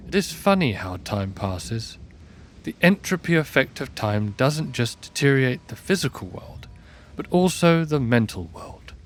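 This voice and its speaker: A man narrating an audio drama in a scripted storybook style. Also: mid-range voice.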